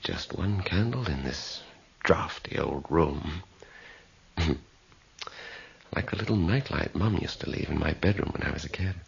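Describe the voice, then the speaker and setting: An actor playing a frightened but defiant skeptic trapped in the dark in a radio horror drama, muttering to himself and flaring into anger. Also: male voice, low-pitched, expressive, measured pace, vocal fry, long pauses, slightly rough, full character voice. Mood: uneasy muttering. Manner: muttering to himself, bursting into angry shouts. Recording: lo-fi hissy radio drama recording.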